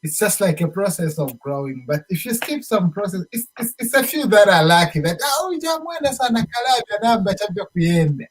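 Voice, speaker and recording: A man's voice: high-pitched, big pitch swings, normal speed, few pauses. A man with a Zambian accent chatting as an interview guest, emphatically restating his points and slipping into local phrases. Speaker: show guest, musician. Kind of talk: casual interview chat.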